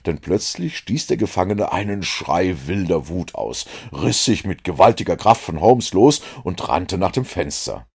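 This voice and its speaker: A male audiobook narrator with a very low, gravelly voice. He tells the story in an even way with a dramatic lift, his pitch moving widely and his tone husky. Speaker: audiobook reader.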